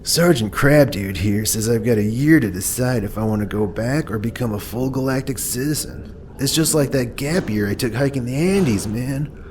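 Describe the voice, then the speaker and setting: A man's voice: medium pitch, normal speed, few pauses, a little mellow, slight background noise, natural voice. A man playing a laid-back stoner dude. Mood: easygoing. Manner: stoner surfer-dude drawl. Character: laid-back hippie slacker man abducted from Earth. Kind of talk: comedy audio drama acting.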